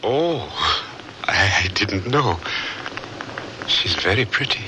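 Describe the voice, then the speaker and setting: A man's voice: fairly low pitch, lively intonation, slow pace, noisy background. An actor playing a polite, bashful, earnest young man in a radio drama, speaking courteously and hesitantly. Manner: hesitant, courteous, often stammering. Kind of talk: radio drama acting and narration.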